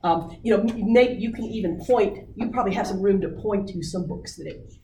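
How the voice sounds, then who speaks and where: A woman leading a writing workshop, coaching people on their book pitches with many fillers. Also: a little fast, warm tone, a little hiss or hum. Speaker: workshop presenter. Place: meeting room. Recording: roomy distant audience recording.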